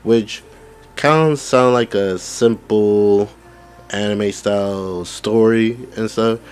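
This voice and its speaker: A man's voice: on the low side, darkish tone. A man hosting a gaming channel, coming down with the flu, casually reading out game details and commenting, with stumbles and restarts. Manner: reads text aloud with stumbles and restarts.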